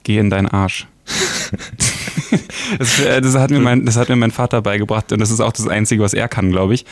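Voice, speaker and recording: A young man co-hosting a radio show in casual studio banter, hesitant and stammering, with quick giggly asides. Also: lowish voice, steady tone, no room sound. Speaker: radio co-host.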